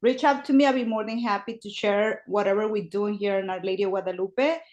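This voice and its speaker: A woman's voice: mid-range voice, quick pace, darkish tone. A woman from Mexico whose first language is Spanish, speaking English with a Mexican Spanish accent in an earnest, casual way on a video call, with some grammar slips. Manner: earnest, fillers like "um" and "like", non-native grammar slips. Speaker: parish religious education worker. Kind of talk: online meeting discussion.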